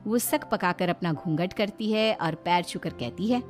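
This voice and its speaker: A woman's voice: fairly low pitch, normal speed, soft background music. A woman narrating a scripted cartoon story as its storyteller.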